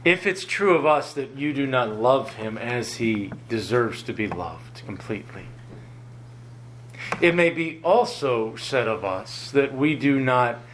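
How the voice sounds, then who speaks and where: A man preaching a sermon, earnest and often chuckling, his voice lower than usual after an illness and halting when he ad-libs. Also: animated, a bit bright, a little hiss or hum. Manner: earnest, chuckles often, rises in pitch for emphasis; halting, repeats words when ad-libbing.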